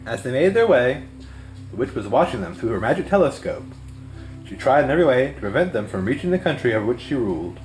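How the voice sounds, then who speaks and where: A man reading a children's story aloud as scripted narration, in an expressive way. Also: mid-range voice, fast, low-quality recording. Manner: expressive read-aloud delivery. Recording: music behind the voice.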